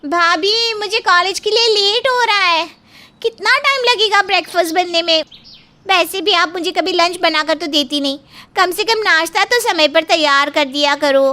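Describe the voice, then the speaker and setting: A woman's voice: extremely high pitch, moderate pace, bright, forceful tone, voice pushed up. A woman voicing a bold, impatient college-age sister-in-law in a cartoon moral story, complaining bluntly and defiantly.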